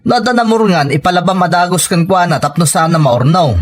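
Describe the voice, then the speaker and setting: A man's voice: high voice, brisk. A man with an Ilocano accent playing a stern, grumbling husband weary of household expenses, complaining emphatically in a serial drama. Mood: annoyed, complaining. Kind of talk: radio-style serial drama acting.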